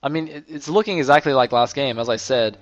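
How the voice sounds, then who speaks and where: Hoarse young man casting a game. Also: medium pitch, quick pace, no room sound, dull audio. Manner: chatty, rambling asides, excitable bursts. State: losing his voice, a little sick. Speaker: esports caster. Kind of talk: solo play-by-play commentary. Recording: music playing underneath.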